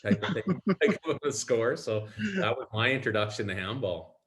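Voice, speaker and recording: Low-voiced man telling stories. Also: very animated, moderate pace, a bit bright. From Alberta, Canada. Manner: rambling, self-deprecating, frequent ums and restarts. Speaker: guest, club player. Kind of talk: casual storytelling interview.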